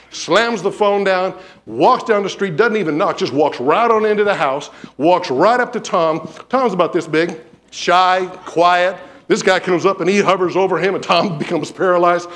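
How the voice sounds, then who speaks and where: A man's voice: sits high, normal speed. A man preaching a sermon in a church, telling stories and acting out what people said. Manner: animated storyteller, acts out quotes. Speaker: pastor. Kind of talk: sermon with storytelling. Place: church sanctuary.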